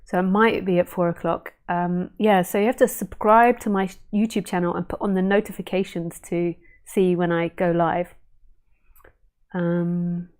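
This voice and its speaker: Woman teacher chatting on a live stream, lowish voice, slow and gentle, lots of ums. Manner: gentle, unhurried, musing with fillers and restarts. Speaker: spiritual teacher. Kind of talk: casual live stream talk answering viewer questions.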